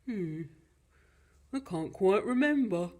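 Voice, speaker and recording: A woman plays a big monster dad from a children's storybook. The delivery is slow and weighty, with dramatic emphasis. He sounds boastful and ominous, like a parent giving a grave warning. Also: mid-range voice, expressive, dark tone, voice pushed up. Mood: hesitant. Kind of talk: children's storybook character reading.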